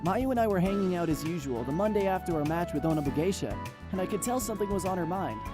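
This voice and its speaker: A man's voice: high-pitched, animated, quick pace, over music. Goofy, earnest young guy. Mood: bashful. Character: goofy, earnest lovestruck student boy, loyal buddy. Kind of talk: visual novel voice acting.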